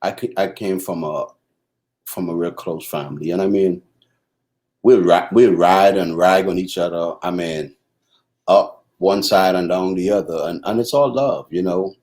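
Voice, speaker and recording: A middle-aged man from the Bahamas with a Bahamian accent, talking casually about family and marriage, pausing and restarting often. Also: low-pitched, a little mellow. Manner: halting, many restarts and fillers. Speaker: husband co-host. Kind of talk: casual conversation.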